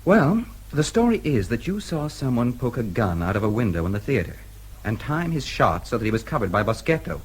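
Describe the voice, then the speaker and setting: An actor playing a shrewd, easygoing American newsman in a radio drama, with a husky voice and a casual, probing manner. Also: mid-range voice, very animated, a little fast, rough recording.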